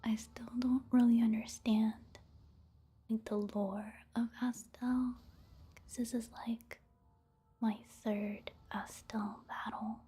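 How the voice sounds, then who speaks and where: A woman doing soft-spoken ASMR game commentary in a hushed, breathy whisper, gentle and unhurried. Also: on the high side, little pitch movement.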